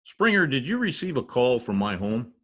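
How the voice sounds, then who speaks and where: A man's voice: mid-range voice, very animated, moderate pace, a bit bright. An older man playing a pompous, exasperated radio star with a theatrical manner in old-time radio comedy. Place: indoor home office. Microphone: computer mic on video call.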